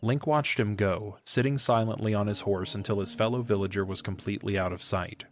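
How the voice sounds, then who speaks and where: A man's voice: lowish voice, fairly even intonation, brisk. A man narrating a fantasy audio drama in a scripted storybook style.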